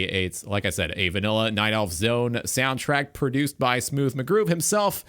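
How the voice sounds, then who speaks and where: A man hosting a gaming talk show in a casual livestream style, with a halting delivery. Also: mid-range voice, a bit bright.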